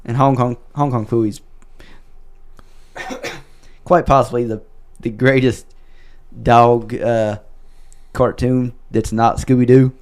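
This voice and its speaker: A man's voice: mid-range voice, fairly even intonation, slow pace, long pauses, darkish tone. A man hosting a casual podcast chat, rambling and correcting himself, his voice worn from a lot of talking. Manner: rambling, self-correcting, wraps up the show. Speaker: podcast host.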